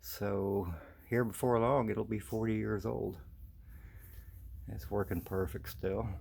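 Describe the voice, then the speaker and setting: An American man with a fairly low voice talks in a casual, folksy way, as if showing something off for a home video. His words come haltingly, with slightly slurred, imprecise consonants from missing teeth. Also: flat delivery, vocal fry, darkish tone, breathy edge. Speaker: vintage audio collector presenting his channel. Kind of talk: casual unscripted video chat.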